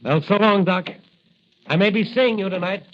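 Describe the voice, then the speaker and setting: A man's voice: high voice, moderate pace, dull audio, natural voice. An actor playing a brave young patrolman and secret masked hero in 1940s radio serial style, on an old broadcast recording. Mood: breezy, eager.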